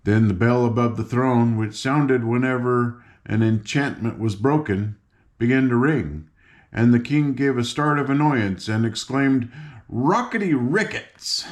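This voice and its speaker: A man's voice: medium pitch, unhurried. An older man reads a children's story aloud in an informal, folksy way, with chatty asides and stumbles over words. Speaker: audiobook reader.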